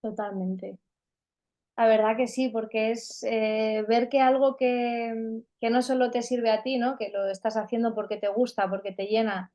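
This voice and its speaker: A woman's voice: mid-range voice, darkish tone, lo-fi sound. A woman hosting an interview podcast, chatting casually and affirming her guest thoughtfully. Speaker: podcast host.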